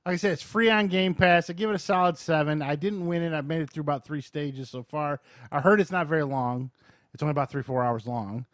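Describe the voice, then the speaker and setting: A man with a slightly high voice talks through video games as a podcast host. The sound is very dry and close, with no room echo. His delivery is informal and slangy, explaining things in short, casual bursts. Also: brisk. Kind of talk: casual chat, game review.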